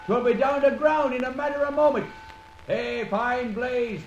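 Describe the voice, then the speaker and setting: Very high-pitched, excitable English yokel. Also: male voice, a little slow, a little hiss or hum. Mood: excited, relishing. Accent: English rural. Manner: dialect-heavy, calling out. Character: excitable rustic countryman relishing a blaze. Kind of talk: old-time radio drama acting. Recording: echoey lo-fi old radio recording.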